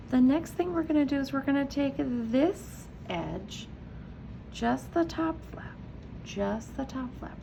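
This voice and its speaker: A woman's voice: medium pitch, gravelly, noisy background. A woman teacher giving patient, encouraging step-by-step craft instructions to young children, often asking a question and answering it herself. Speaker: preschool teacher, host of a children's read-aloud and craft video.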